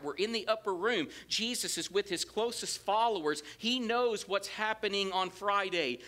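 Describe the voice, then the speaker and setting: A middle-aged man with a Southern accent, preaching a sermon in a church with folksy asides. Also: sits high. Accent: Southern American. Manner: animated, gestures widely while preaching; folksy asides between scripture quotes. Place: large church sanctuary with empty pews. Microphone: lectern mic, roams away at times.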